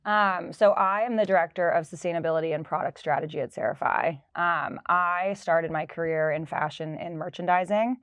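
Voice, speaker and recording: A woman's voice: fairly low pitch, moderate pace, hardly any pauses, fairly bright tone, no echo. A woman in her thirties, a sustainability director, telling her career story fluently in a casual interview. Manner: fluent, storytelling, light laughs. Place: office.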